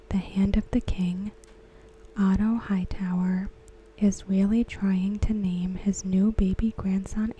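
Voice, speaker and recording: A woman speaking in a soft unvoiced whisper for an ASMR recap, slow and even throughout. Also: lowish voice, breathy edge, poor audio. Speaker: ASMR creator.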